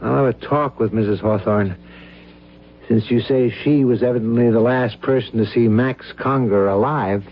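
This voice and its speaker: A man's voice: mid-range voice, moderate pace, lightly acted. A man acting a businesslike, probing police lieutenant in an old-time radio drama. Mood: inquiring. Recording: dull old radio recording, faint noise.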